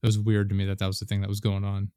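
A man's voice: lowish voice, monotone, racing, dark tone, clear, resonant tone, no echo, clean studio sound. A man in his late twenties hosting a casual podcast chat, rambling with many fillers and restarts. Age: 20s. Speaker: podcast host.

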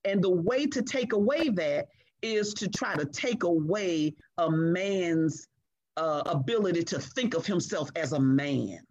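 A middle-aged woman speaking as a guest in a conversation, earnest and emphatic, measured in her delivery. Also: lowish voice, animated, darkish tone, muffled recording. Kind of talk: reflective conversation.

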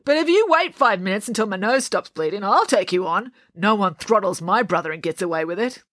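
A woman's voice: mid-range voice, expressive, a little fast, a bit bright, no room sound, clean studio sound, pitched above the performer's own voice, natural voice. Woman as a feisty, nasal twelve-year-old girl. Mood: defiant. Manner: defiant, combative.

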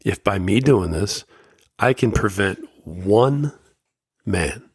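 A man's voice: fairly low pitch, lively intonation, slow, husky, dry recording, studio quality. A man hosting a solo podcast, talking candidly and motivationally, his voice slightly scratchy from a summer cold. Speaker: podcast host.

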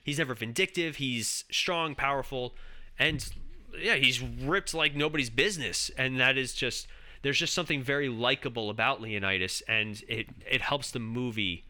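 A man's voice: medium pitch, moderate pace, pushed and bright. A man co-hosting a casual film podcast, talking enthusiastically and rambling with restarts. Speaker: podcast co-host.

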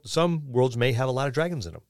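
A man talks in a casual podcast discussion. He thinks out loud and often puts questions to the others. The sound is close and dry, with an ordinary middle pitch. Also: sing-song, quick pace, no echo. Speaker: podcast co-host. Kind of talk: casual tabletop gaming discussion.

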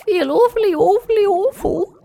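Woman voicing a sick male fish, moaning, raised pitch, dry close sound. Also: moderate pace, vocal fry, mellow, no echo.

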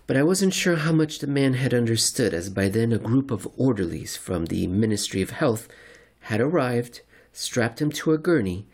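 A man reading a scripted comic science-fiction story in the first person, with a dry, deadpan, wordy delivery. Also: lowish voice, gravelly, breathy edge. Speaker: narrator.

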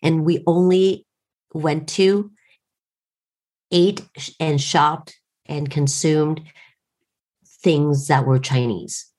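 A woman's voice: low-pitched, steady tone, drawn-out words, pauses often, a little mellow. A woman in her late thirties with an American accent, telling a wry, self-deprecating story in casual conversation. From United States. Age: late 30s.

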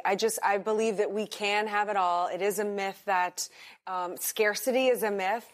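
Candid woman guest, halting and open. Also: medium pitch. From United States. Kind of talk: interview. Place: hotel in Singapore.

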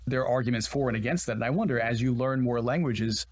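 A man co-hosting a podcast, asking thoughtful questions in a relaxed, casual way. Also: medium pitch, fast. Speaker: podcast co-host.

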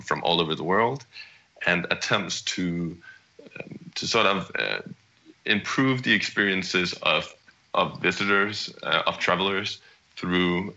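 A young man from Denmark speaks in a low register with a very forceful, bright edge. He talks at a halting, conversational pace and keeps restarting his phrases as he tells his story. The audio is dull and lo-fi. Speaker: startup CEO guest. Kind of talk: conversational interview answer.

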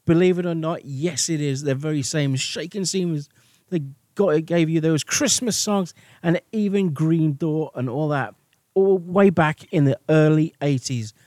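A man's voice: on the high side, moderate pace, darkish tone, dry recording, clean studio sound. A man presenting a music chart show in an enthusiastic radio style, speaking emphatically and repeating phrases for stress.

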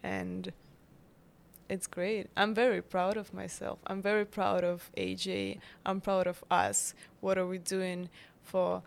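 A woman in her twenties speaks with a Ukrainian accent in a relaxed interview. She talks slowly, stopping often on fillers and restarts, at a middle pitch. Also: creaky. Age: 20s. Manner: halting, full of fillers and restarts. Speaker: guest, bakery founder. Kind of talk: casual interview chat.

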